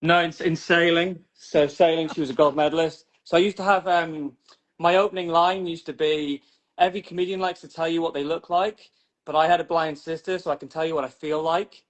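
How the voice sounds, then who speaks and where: A man's voice: fairly high pitch, fairly even intonation, a little fast, muffled recording. A British man from Newcastle, a stand-up comedian chatting loosely about his act, full of "like" fillers and self-mockery. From Newcastle, England. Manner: rambling, many "like" fillers, self-mocking.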